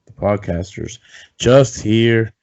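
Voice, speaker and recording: Low-voiced man hosting a casual podcast. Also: slow pace, a little mellow. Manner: rambling, loose, swears casually. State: losing his voice, feeling sick. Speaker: podcast host. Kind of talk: casual sports podcast chat. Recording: dull lo-fi sound.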